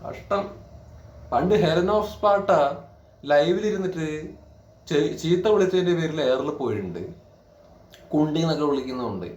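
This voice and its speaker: A man's voice: a little high, lively intonation, normal speed, pauses often, a little mellow, lo-fi sound. A man giving opinionated reaction commentary in an indignant, scolding, emphatic manner full of rhetorical questions. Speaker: reaction video creator. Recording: echoey room.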